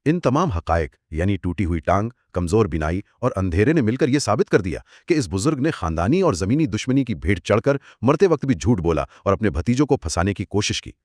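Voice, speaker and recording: Male explainer co-host: articulate, formal phrasing, highly expressive intonation, dry close sound. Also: brisk, few pauses, no room sound. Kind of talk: scripted two-host explainer discussion.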